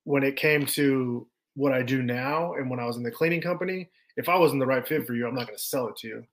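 A man's voice: medium pitch, fast. A man in his thirties, a podcast guest, telling his story in a loose, rambling way with many fillers. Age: 30s. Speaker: podcast guest, business owner. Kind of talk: casual conversation.

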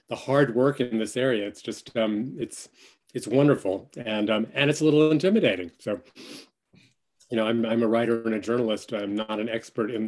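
Casting: man in his 60s, congested and nasal, halting guest speaker on a video call. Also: mid-range voice, darkish tone. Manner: hesitant, many ums, self-deprecating. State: stuffy, nasal from a cold. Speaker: guest author. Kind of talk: virtual event talk.

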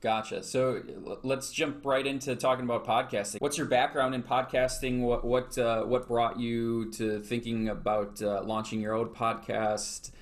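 A man's voice: medium pitch, little pitch movement, normal speed, hardly any pauses. A man hosting an interview podcast, reading a scripted intro and then asking loose, restarting questions. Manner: restarts and repeats words in questions. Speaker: podcast host.

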